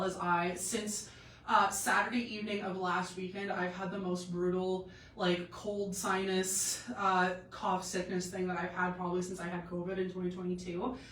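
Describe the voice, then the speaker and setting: A woman's voice: mid-range voice, a bit bright, slight background noise. A young woman with a cold, sounding stuffed up and a bit nasal, chatting loosely with many fillers as she hosts a clothing try-on live stream from home. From Canada. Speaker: boutique live-stream host. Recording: echoey room, mic at a distance.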